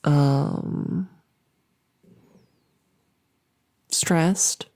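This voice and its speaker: Woman playing a low, soft-spoken, nervous monster girl. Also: drawn-out words. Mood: stressed, earnest. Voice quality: soft, often slipping into whisper. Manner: rambling, nervous, stammering and repeating herself. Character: anxious, overworked, friendly female monster under the bed. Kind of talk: whispery comedic ASMR audio roleplay.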